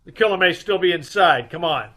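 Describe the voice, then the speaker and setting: A man playing a brisk, wisecracking private detective in an old-time radio mystery. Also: sits high, expressive, pushed and bright, heavily acted. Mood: wry, steady. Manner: clipped, wry interrogating.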